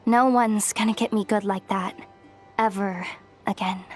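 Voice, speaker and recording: A woman's voice: mid-range voice, steady tone, unhurried, darkish tone, faint music behind, close to an everyday voice. An actress playing a loyal, kind-hearted, streetwise young woman in a video game, speaking in an earnest, plucky, slightly guarded way. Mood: defiant, guarded. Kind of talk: dramatic video game voice acting.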